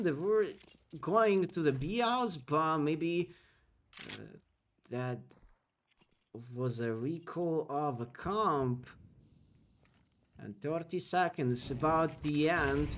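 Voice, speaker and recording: A man casting an esports match in non-native English, giving fast play-by-play that climbs during the action and chatting with viewers between rounds. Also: slight background noise. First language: not English. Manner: rapid, excitable calls that climb in pitch during action. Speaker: esports caster. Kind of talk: solo play-by-play commentary with chat asides.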